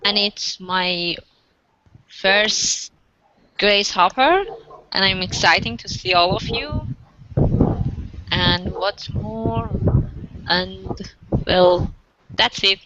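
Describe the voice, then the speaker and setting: A woman's voice: medium pitch, extremely slow, long pauses. A woman from Iran, a graduate student, speaking briefly and politely in an online meeting. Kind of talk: casual online meeting chat. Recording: muffled, noisy online call.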